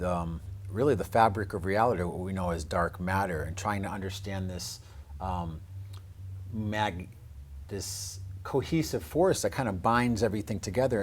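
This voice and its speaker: Low-voiced man in discursive radio discussion.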